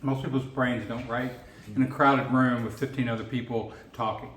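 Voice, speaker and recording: A man's voice: medium pitch, flat delivery, normal speed, a bit bright. A man running a comedy writing workshop, with dry, sardonic remarks and plain instructions to the group. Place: crowded room with many people talking. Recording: echoey room, from a distance, light noise.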